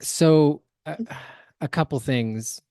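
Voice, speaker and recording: A man's voice: a little high, slow, warm tone, smooth, no echo. Man with dry, close podcast sound. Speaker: podcast co-host. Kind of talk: casual critical discussion.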